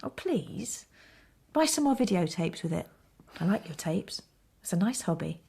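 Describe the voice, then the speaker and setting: A woman's voice: fairly low pitch, very animated, normal speed, vocal fry, warm tone, breathy edge, exaggerated voice. An actress playing an emotional, protective middle-aged mother in a radio play. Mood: gentle. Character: emotional, loving working mother, fiercely protective.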